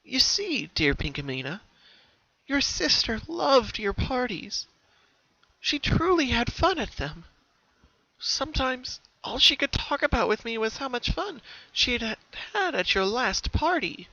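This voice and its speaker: A gentle old grandmother pony, acted out by a man for a story reading. The voice is raised high and soft, soothing and solemnly warm, with slow consoling phrases. The recording is dull and muffled. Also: big pitch swings, creaky, no echo, heavily acted. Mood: warm reassurance. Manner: slow, tender, consoling delivery.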